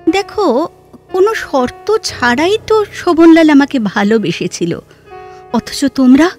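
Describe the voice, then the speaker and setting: A woman playing a reflective, scholarly, sorrow-laden heroine in an audio drama, speaking in a measured, lyrical way with expressive pauses. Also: high-pitched, full character voice. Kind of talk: Bengali audio drama dialogue.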